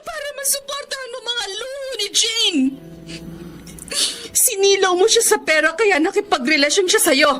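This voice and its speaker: A woman's voice: extremely high pitch, moderate pace, pushed and bright. A woman playing an anguished, accusing middle-aged betrayed wife in a Filipino radio drama, tearful and confrontational, with a Filipino accent. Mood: bitter, anguished. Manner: tearful, confrontational, emotionally heightened. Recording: dramatic music behind the voice.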